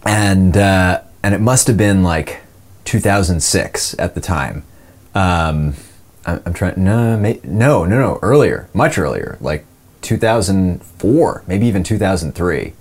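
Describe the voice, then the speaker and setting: A man's voice: deep voice, expressive, a little slow, darkish tone. A man in his late twenties with an American accent, telling stories casually in a rambling, self-deprecating way. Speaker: podcast host and voice actor. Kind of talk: casual conversational storytelling.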